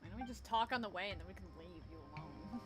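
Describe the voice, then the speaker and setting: A woman's voice: lowish voice, fast talker. A woman gaming streamer giving casual, off-the-cuff playthrough commentary. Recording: loud game music behind.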